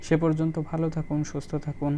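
A man's voice: on the high side, completely flat delivery, fast, dark tone, slight background noise. A man giving a plain, step-by-step phone tutorial as a channel host. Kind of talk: spoken phone tutorial voice-over.

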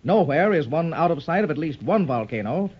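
On a muffled 1930s radio recording, an earnest gentleman adventurer speaks in a slightly high male voice. He is plain-spoken and worried. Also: animated, a little fast, husky. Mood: worried, grave. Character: worried gentleman treasure seeker. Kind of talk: 1930s radio serial acting.

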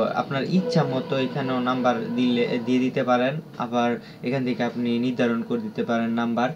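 A man with a Bangladeshi accent giving an unscripted app tutorial in a halting, repetitive, instructional way. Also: medium pitch, darkish tone. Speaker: tutorial presenter. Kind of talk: unscripted screen-recorded app walkthrough. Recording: dull lo-fi audio with clear background noise.

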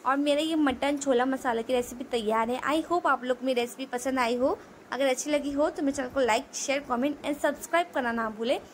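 High-voiced woman narrating a home recipe. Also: a little fast, slight background noise. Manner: steady step-by-step explaining, repeats phrases. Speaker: cooking channel host. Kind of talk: voice-over cooking tutorial.

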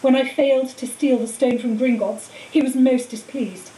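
A woman voicing a cold, treacherous male wizard teacher in an audiobook, speaking in a calm, menacing, matter-of-fact way. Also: sits high, moderate pace, close to an everyday voice. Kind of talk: audiobook character voice. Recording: roomy echo, distant mic, faint hiss.

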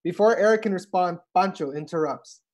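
A woman's voice: lowish voice, brisk, a little mellow. A woman reading scripted stage directions as narrator for a comedic table read. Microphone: video call.

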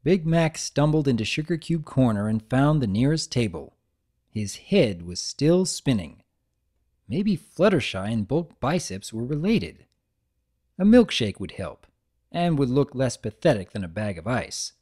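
A man's voice: medium pitch, very animated, a little slow, mellow. A man reading a story aloud as narrator, in a dramatic storytelling style.

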